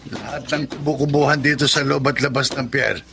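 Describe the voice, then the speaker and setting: Actor as gravelly veteran Filipino dockworker. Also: male voice, mid-range voice, fairly even intonation, fairly quick, lightly acted. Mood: matter-of-fact. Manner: resigned, explanatory. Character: matter-of-fact veteran forklift operator, coworker at the pier. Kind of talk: Tagalog radio drama acting. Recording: faint background ambience noise.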